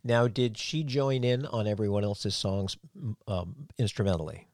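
A man's voice: on the low side, moderate pace, a little mellow, dry recording, studio quality. A man hosting an interview, talking at length in long run-on thoughts and complimenting his guest. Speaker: podcast host, former sound engineer. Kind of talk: casual interview chat.

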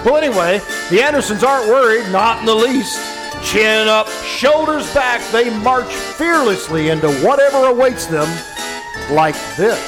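Man playing a high-voiced old-time radio announcer. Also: expressive, moderate pace, low-quality recording. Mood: mock-suspenseful. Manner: folksy salesman patter, tongue-in-cheek dramatic. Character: cheerful 1950s radio announcer, mock-dramatic storyteller. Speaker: announcer and narrator. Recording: music behind, live stage radio play.

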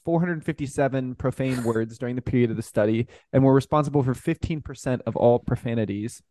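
A man's voice: medium pitch, fairly quick, dark tone, dry recording. A man co-hosting a podcast in casual, amused conversation about soccer. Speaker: podcast co-host.

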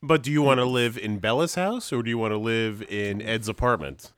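A man's voice: mid-range voice, a little fast, a bit bright, clear, resonant tone, dry recording, clean studio sound. A man hosting a casual movie podcast, laid-back, chuckling often and letting his sentences trail off. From New York State. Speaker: podcast host. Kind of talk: casual chat.

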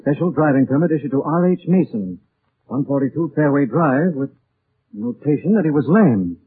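An actor playing a composed gentleman sleuth who reasons gravely and deliberately, in 1940s radio style. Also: on the high side, lively intonation. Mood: deductive. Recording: muffled, narrow-band 1940s radio recording.

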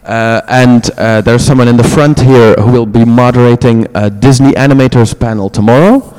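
A man's voice: medium pitch, steady tone, background noise. A man with a Dutch accent and a mid-range voice hosts a convention panel, stumbling through hesitations and joking at his own expense. The room gives his voice a long, echoing reverb. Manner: halting, self-deprecating, many hesitations. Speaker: panel moderator, host. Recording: echoey hall.

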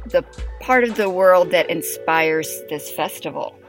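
A woman's voice: lowish voice, expressive, unhurried, faint music behind. A woman radio interviewer reading a scripted intro and asking appreciative questions.

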